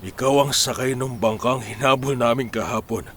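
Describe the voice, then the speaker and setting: A man's voice: mid-range voice, moderate pace, a bit bright, a little hiss or hum, close to an everyday voice. An actor with a Filipino accent playing a working man in a radio drama. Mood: confrontational.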